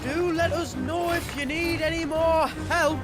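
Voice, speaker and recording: High-voiced, glib swindler. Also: male voice, steady tone, measured pace, music in the background. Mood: mock-polite. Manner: theatrical, mock-courteous. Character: slippery, glib swindling male merchant, mock-polite. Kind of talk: fantasy video game voice acting.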